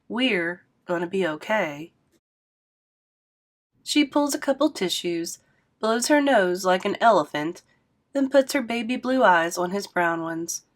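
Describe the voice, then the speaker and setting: A woman reads a children's novel aloud in a steady, expressive storyteller's voice. Her pitch sits right in the middle for a woman, and she narrates evenly, line by line. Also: unhurried. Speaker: read-aloud host.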